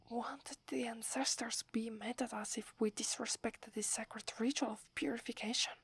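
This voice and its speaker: A young female werewolf warrior, acted by a woman with an Italian accent, devoted and humble before her leader. Her voice is a very breathy, airy whisper. She speaks slowly and deferentially, in the intimate style of an ASMR roleplay. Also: a little high, heavily acted. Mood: hesitant, humble. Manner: slow, hushed, deferential.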